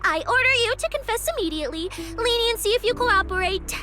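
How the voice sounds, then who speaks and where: A woman playing a playful, bossy, easily flustered young police specialist in an anime-style video game, with an expressive, teasing, mock-official delivery. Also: very high voice, a little fast. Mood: probing, mock-stern.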